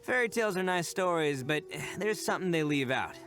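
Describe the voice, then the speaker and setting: A man's voice: on the high side, animated, fairly quick, fairly bright tone. A man playing a proud, brash, earnest young oni gang leader in a video game, speaking emphatically. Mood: frank, explanatory. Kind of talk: scripted video game voice acting.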